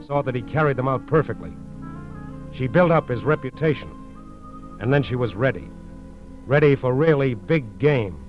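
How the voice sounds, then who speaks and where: An American man narrates a scripted 1940s crime broadcast. He is grave and lands short phrases with emphasis. Loud music plays behind him, and the old recording is slightly dull. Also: medium pitch.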